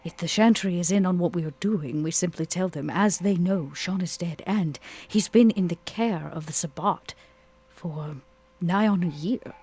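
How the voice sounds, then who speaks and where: A player voicing a scheming, composed vampire in a tabletop roleplay, speaking in a measured, articulate and wry way. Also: medium pitch, darkish tone, breathy edge. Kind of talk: actual play roleplay dialogue.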